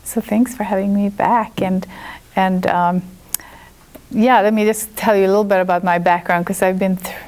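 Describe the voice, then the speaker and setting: A woman's voice: mid-range voice, steady tone, moderate pace, a little mellow. A woman in her fifties from Sweden with a Swedish accent, a chiropractor telling her personal story to an audience in a candid, chatty way. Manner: candid and chatty, with frequent restarts. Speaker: chiropractor and guest speaker.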